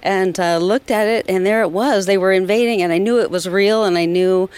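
A woman's voice: medium pitch, brisk, a little mellow, clean tone, no echo. A woman from southern California with an American accent, telling her story emphatically as an interview guest. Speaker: interview guest, volunteer rescuer.

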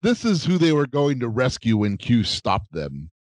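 A man's voice: mid-range voice, wildly swooping pitch, normal speed, darkish tone, dry recording. A man co-hosting a casual chat, earnest and a little self-mocking. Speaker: podcast co-host.

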